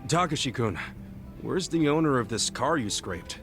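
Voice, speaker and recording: Male street-smart sidekick played by an actor, game cutscene; expressive, skeptical, dry wit and plain slangy talk. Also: mid-range voice, moderate pace, faint music behind, close to an everyday voice. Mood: wry, challenging. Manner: casual, blunt, wry. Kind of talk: video game cutscene dialogue.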